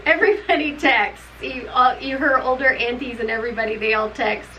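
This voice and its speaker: A woman's voice: sits high, measured pace, a bit bright, soft background music, rough recording. Chatty middle-aged woman reviewing a book. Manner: chatty, rambling, laughs often. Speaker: book club co-host. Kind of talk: casual book review chat. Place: home room with bookshelves.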